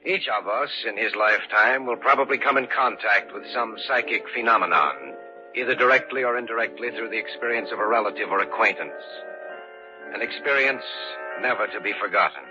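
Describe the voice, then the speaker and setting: Man acting a low, solemn horror-tale narrator. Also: lightly acted. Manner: solemn, measured storytelling. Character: grave, haunted man recounting a supernatural tale. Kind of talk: old-time radio horror narration. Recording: dull old radio recording with music.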